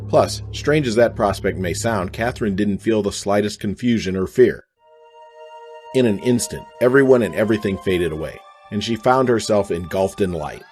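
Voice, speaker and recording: Low-voiced man narrating a radio drama. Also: a little mellow.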